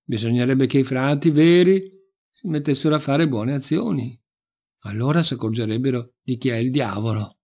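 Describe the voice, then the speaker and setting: A man voicing a grumpy, knowing ogre in a folk tale, explaining things slowly and grumbling. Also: medium pitch, mellow, lightly acted. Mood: matter-of-fact, knowing. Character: gruff, grumpy, knowing male ogre, a sleepy husband.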